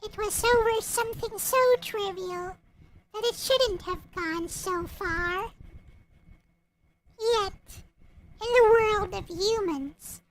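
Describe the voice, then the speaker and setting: Very high, childlike-pitched woman's voice. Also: slow pace, pauses often, poor audio. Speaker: visual novel creator and reader. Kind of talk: casual chat and storybook narration.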